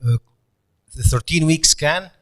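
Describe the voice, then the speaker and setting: A middle-aged man with an Egyptian accent giving a conference lecture, pausing often with fillers. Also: fairly high pitch, a bit bright. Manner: hesitant, many fillers, non-native phrasing. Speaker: professor lecturer.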